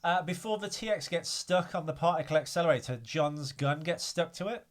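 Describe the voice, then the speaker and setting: A man's voice: fairly high pitch, a little fast. A man hosting a casual podcast chat. He talks hesitantly, with frequent fillers and trailing "I don't know"s, and there is a frog in his throat. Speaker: podcast host.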